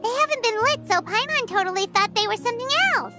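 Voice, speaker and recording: A little fairy sidekick with a very high, squeaky child's voice. The delivery is chirpy, naive and highly animated, as in a video game, with music clearly playing underneath. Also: female voice, fast talker. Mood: curious. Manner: refers to self in third person, exclamatory.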